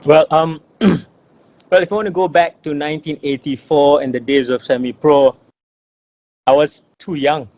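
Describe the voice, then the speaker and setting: A man's voice: on the high side, steady tone, unhurried, a little mellow, dull audio. A man in his forties from Malaysia, a guest in an interview, talking in a thoughtful way with many fillers and repeated phrases. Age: 40s. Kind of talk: casual interview.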